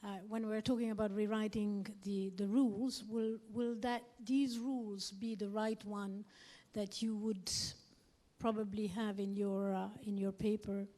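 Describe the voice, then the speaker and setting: A woman's voice: medium pitch, level tone, a little slow, mellow. A woman moderating a panel without a script, searching for words as she frames a question. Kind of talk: unscripted moderating.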